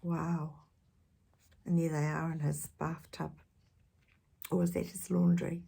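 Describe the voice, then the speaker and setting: A woman reads a picture book aloud to young listeners. Her voice sits lowish, husky and gravelly, and she slips from expressive narration into chatty questions for the children. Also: slow pace. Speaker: children's storyteller. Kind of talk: read-aloud storytelling with chatty asides.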